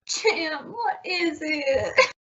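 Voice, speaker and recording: High-pitched, nervous radio-play mother played by a woman. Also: expressive, drawn-out words, far from the mic, very noisy, full character voice. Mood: uneasy. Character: nervous, uneasy middle-aged wife and mother. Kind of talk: old-time radio family comedy acting. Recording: dull, muffled recording.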